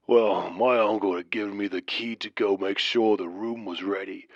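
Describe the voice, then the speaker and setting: A man playing a folksy Southern trucker who calls a late-night radio show, telling an eerie tale in a slow, drawn-out manner. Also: fairly low pitch. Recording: muffled, roomy sound.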